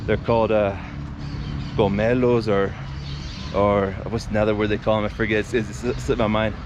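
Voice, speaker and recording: A man's voice: fairly low pitch, little pitch movement, moderate pace, highs cut off. A man vlogging outdoors by a city street, telling a story to camera in a loose, conversational way, full of restarts and fillers and often calling his viewers guys. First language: not Spanish. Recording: outdoor street traffic noise.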